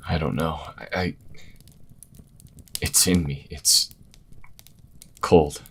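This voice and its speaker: Guilt-ridden young healer priest, deep and slow, stammering, fantasy audio drama. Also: male voice, creaky, slight background noise, exaggerated voice. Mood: shaken, uneasy. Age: young adult. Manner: hesitant, stammering, agonized.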